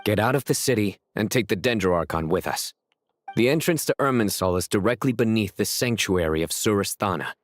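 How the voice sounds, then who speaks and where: An actor playing a composed, authoritative officer in a video game, calm and matter-of-fact. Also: male voice, lowish voice, a little fast, soft background music, natural voice. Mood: resolute.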